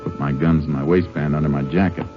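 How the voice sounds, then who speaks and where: An actor playing a laconic, shrewd Texas Ranger in an old-time radio western, with an American accent and terse, folksy cowboy talk. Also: male voice, extremely low pitch, steady tone, fast talker. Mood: terse, determined. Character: laconic Texas Ranger, shrewd, steady lawman posing as drifter. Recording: muffled vintage radio recording, music behind.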